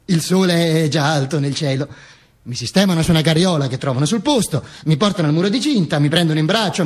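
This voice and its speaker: An actor playing a dead Mussolini who narrates from the grave in a theatrical monologue, stammering and repeating himself, with mock-heroic declamation. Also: male voice, high-pitched. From Italy. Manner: stammering, self-correcting, repetitive, slipping into slow declamation. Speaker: stage actor performing a monologue.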